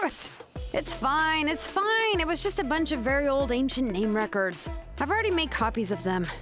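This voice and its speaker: A woman's voice: high-pitched, animated, measured pace, over music. A woman playing a young, earnest name engraver in a video game, explaining things in a frank, casual way. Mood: flustered. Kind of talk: animated game dialogue acting.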